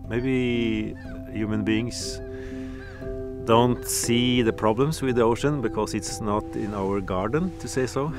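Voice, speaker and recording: A Norwegian man, a musician, speaking English as a second language in a calm, reflective documentary interview. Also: mid-range voice, slow. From Norway. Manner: calm, reflective, slightly non-native phrasing. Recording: music playing behind.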